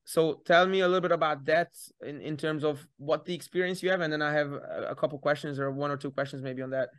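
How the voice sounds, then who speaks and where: A man's voice: a little high, fairly even intonation, fast talker, smooth. A man from Hungary hosting a podcast in a casual, friendly way, rambling a little and restarting his sentences. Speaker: podcast host. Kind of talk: casual interview chat.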